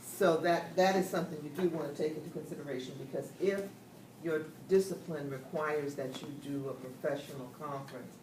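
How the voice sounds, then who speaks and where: A woman's voice: deep voice, brisk, hardly any pauses, a crowd in the background. A woman offering practical advice at a student meeting, emphatic in tone. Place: classroom. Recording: echoey classroom, distant mic, noise.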